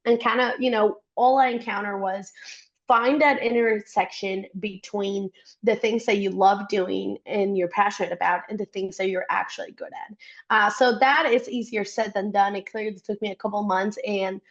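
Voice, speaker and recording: A woman's voice: medium pitch, steady tone, normal speed, dull audio. Chatty young woman. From Costa Rica. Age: young adult. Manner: chatty and upbeat. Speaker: guest, startup support worker. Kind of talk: interview conversation.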